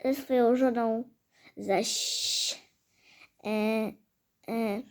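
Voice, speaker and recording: High-voiced woman telling a halting fairy tale. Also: steady tone. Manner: halting, hesitant delivery with stumbles and repeated phrases. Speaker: storyteller. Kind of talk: fairy-tale storytelling for a hobby audio play.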